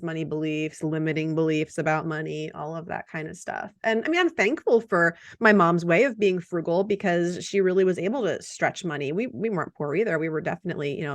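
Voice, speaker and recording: A woman hosting a podcast, with a voice that sits a little lower than most. She introduces her guest and chats easily, tossing in quick asides. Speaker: podcast host. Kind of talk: podcast interview.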